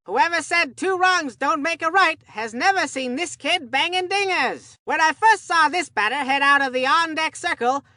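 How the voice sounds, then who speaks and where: Goofy cartoon ballgame commentator, really high sharp voice, earnest and rambly, absent minded, folksy. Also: no echo, clean studio sound, full character voice. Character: excitable, scatterbrained kids' baseball commentator Abner Dubbleplay. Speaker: sports commentator. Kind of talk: cartoon video game sports commentary.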